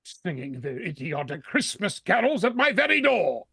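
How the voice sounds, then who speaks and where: A man's voice: high voice, very animated, normal speed, vocal fry, pushed and bright, husky, dry recording, studio quality, exaggerated voice. An actor playing a grumpy, miserly old man who bullies and sneers in vintage radio drama style. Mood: furious. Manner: barking, scornful, emphatic. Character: grumpy, miserly old male employer, contemptuous and bullying.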